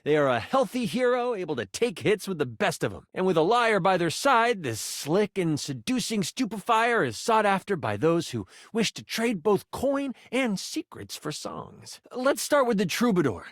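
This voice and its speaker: Excitable, geeky game master die. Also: male voice, sits high, normal speed, few pauses, dry recording, studio quality. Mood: dramatic storytelling. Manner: theatrical, eager, alliterative storyteller flourishes. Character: Chance, excitable geeky living twenty-sided die, eager game master. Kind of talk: video game character voice acting.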